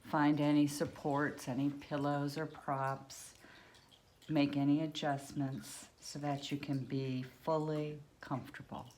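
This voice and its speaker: Very slow, low-voiced woman guiding meditation. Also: little pitch movement, a little mellow. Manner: unhurried, spoken in short phrases with long pauses. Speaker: guided meditation teacher. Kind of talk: guided yoga nidra meditation, soothing instruction.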